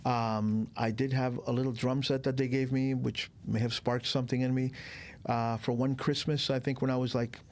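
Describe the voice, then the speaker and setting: Gravelly Cuban-born man in his sixties. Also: mid-range voice, moderate pace. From Cuba. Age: 60s. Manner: relaxed, rambling storyteller with many fillers. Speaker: guest, DJ. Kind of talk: casual interview chat.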